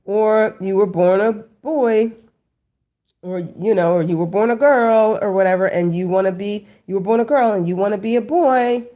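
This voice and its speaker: A woman's voice: mid-range voice, normal speed, mellow. Sniffly woman chatting with her viewers. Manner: chatty, lots of "like" and "um", addresses viewers as family. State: stuffy, sniffly from a cold. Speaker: host. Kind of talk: casual talk to viewers.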